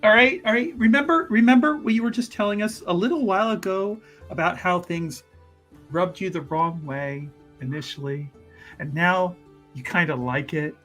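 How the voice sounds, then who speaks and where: A woman's voice: on the low side, moderate pace, fairly bright tone. A woman playing a teasing, bawdy, easygoing adventurer in improvised tabletop roleplay, cajoling in a casual way with music behind. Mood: persuasive.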